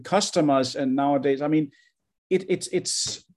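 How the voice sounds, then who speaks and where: A man's voice: fairly high pitch, a little mellow. A man with a Danish accent interviewing a guest in casual English, often stumbling and repeating words. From Denmark. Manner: halting, repeats words, laughs easily.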